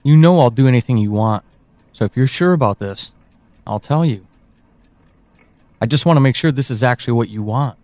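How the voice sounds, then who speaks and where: A man playing a teasing, evasive confidant in an audio drama, speaking slowly and deliberately with dramatic pauses. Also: mid-range voice, animated, a little mellow, lightly acted. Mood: reassuring, cautious. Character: teasing, evasive confidant guiding someone through dark memories. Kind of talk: audio drama acting, intimate monologue and dialogue.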